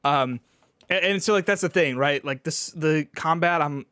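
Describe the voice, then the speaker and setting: Guy podcaster talking games, kinda high clear voice, halting casual delivery, super dry sound. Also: sharp tone, dry recording. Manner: halting, filler-heavy, starts and restarts thoughts. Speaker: podcast co-host and games reviewer. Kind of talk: casual video game chat.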